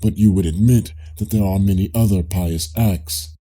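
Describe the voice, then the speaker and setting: A deep, very dark male voice as a man reads the part of a Greek philosopher in an audiobook dialogue. He questions in a measured, politely ironic way, with a husky edge. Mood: patient, probing.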